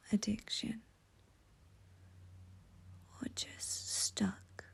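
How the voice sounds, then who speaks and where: Very slow, breathy whispering woman. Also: mid-range voice. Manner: soothing, slow, gentle, intimate. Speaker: guided meditation teacher. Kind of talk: guided meditation, soft near-whisper.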